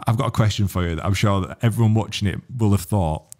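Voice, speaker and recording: Man, slightly low voice, teasing, cheeky questions, podcast co-host. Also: fast, a little mellow. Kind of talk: casual podcast chat. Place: podcast studio.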